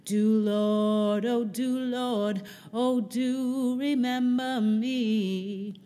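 A woman gospel singer delivering a spiritual in a slow, sung style. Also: fairly high pitch, steady tone, mellow. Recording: long reverberant hall, faint music.

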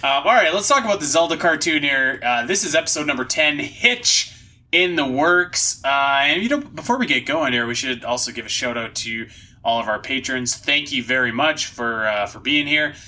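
Male podcast host, very bright forceful voice, upbeat and slangy, casual chat. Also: mid-range voice, expressive, moderate pace.

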